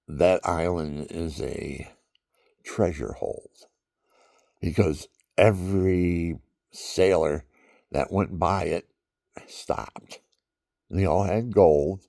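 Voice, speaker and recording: A man's voice: deep voice, slow, gravelly, warm tone, no room sound, studio quality. A man telling rambling personal stories in a meandering way, repeating himself and chuckling at his own tales. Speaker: vlogger.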